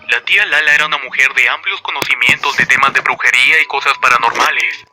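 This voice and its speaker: Brief: male storyteller, very bright and sharp tone, steady measured reading, soft music underneath. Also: mid-range voice, rough recording. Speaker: narrator. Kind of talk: scripted narration of a horror story.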